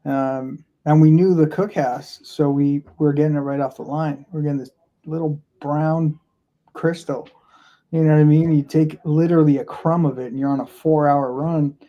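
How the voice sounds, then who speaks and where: A man's voice: on the high side, steady tone, moderate pace, lo-fi sound. A man from Florida, a podcast guest, telling a candid story in a casual, rambling way with many fillers and restarts.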